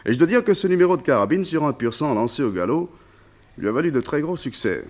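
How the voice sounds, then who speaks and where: A man's voice: on the high side, sing-song, fast, a little mellow. An actor playing a wistful former colonial officer turned circus tamer in a French radio serial, telling his story in a conversational, hesitant way. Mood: fond reminiscing.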